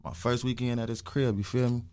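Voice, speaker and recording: A man's voice: medium pitch, flat delivery, fairly quick, darkish tone, clear, resonant tone, no echo. A man, telling stories casually in heavy slang, emphatic, swearing and repeating phrases. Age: early twenties. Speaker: interview guest, music artist.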